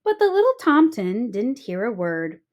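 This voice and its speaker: A woman reading a children's storybook aloud with expressive narration. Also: fairly high pitch, normal speed.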